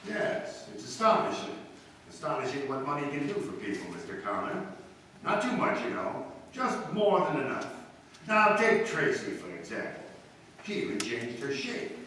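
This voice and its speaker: A man's voice: mid-range voice, sing-song, normal speed, bright, forceful tone. A man playing a suave, teasing upper-class ex-husband in an old-time radio comedy, breezy and mocking. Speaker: actor in a live radio play. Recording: very echoey hall, distant mic, faint hiss.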